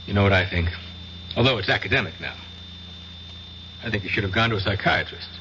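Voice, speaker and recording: Man acting sober probing guy, really low voice, vintage radio drama, hissy dull sound. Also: fast talker, lightly acted. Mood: probing, measured. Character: sober, probing man questioning a troubled client. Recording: dull, noisy old radio recording.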